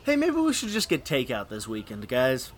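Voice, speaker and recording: A man's voice: medium pitch, huge pitch swings, moderate pace, a little hiss or hum. A man playing a grumbling, sarcastic sidekick in a comedy skit, with a dry, complaining delivery. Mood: dry, mocking. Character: grumbling, sunburned, sarcastic male sidekick avoiding sun. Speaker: comic sidekick.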